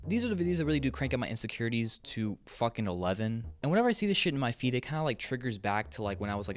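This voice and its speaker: A young man narrating an opinion video essay in a conversational, frank and emphatic way, sometimes swearing. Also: lowish voice. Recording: music under the voice. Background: background music.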